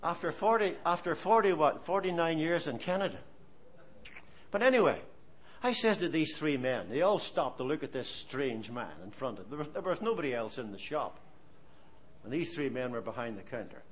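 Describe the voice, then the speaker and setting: A man's voice: fairly high pitch, normal speed. A man in his seventies with an Irish accent, preaching a sermon in an earnest, storytelling way. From Ireland. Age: 70s. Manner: animated, storytelling, earnest exhortation.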